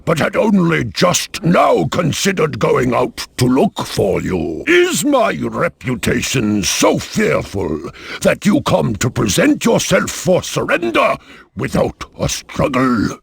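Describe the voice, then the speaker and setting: Gravelly, pompous guardsman. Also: a little high, huge pitch swings, slow, no room sound, exaggerated voice. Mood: haughty. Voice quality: gravelly, husky. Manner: pompous, dryly formal. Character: haughty male bodyguard and lawman, dryly condescending. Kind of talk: video game cutscene acting.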